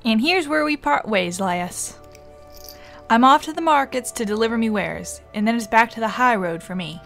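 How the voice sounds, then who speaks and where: A woman's voice: fairly high pitch, animated, normal speed, a bit bright. A woman voicing a chatty, friendly travelling merchant from a fantasy game, with folksy speech in a put-on accent. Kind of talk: reading game dialogue aloud in character. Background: game music.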